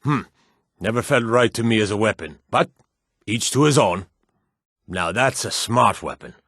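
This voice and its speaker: An actor playing a gruff, proud old blacksmith in a fantasy video game, with a husky, gravelly voice and a measured, plain-spoken delivery. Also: male voice, on the low side, lively intonation, fairly bright tone, dry recording, clean studio sound. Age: older. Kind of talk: fantasy game character dialogue.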